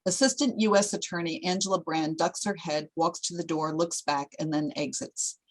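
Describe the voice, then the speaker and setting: A woman's voice: fairly low pitch, normal speed, poor audio. A woman reading screenplay stage directions as a plain, descriptive narrator.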